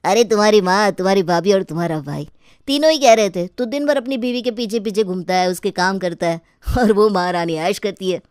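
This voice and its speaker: A woman's voice: mid-range voice, brisk, darkish tone, clear, resonant tone, dry recording, clean studio sound, close to an everyday voice. A woman voicing a gossiping male neighbour in a family story, chatty and needling.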